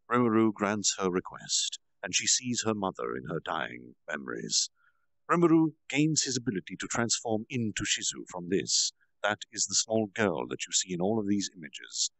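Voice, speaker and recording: A man's voice: lowish voice, vocal fry, fairly bright tone, dry recording. A man casually recapping a fantasy novel as a storyteller, dramatic with asides and self-corrections. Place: virtual reality social space.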